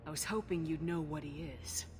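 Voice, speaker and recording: An actress playing a fearless military commander in a video game, speaking firmly and tersely with confidence. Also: female voice, low-pitched, brisk, natural voice. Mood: steely resolve. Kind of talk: video game cutscene acting. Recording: music behind the voice.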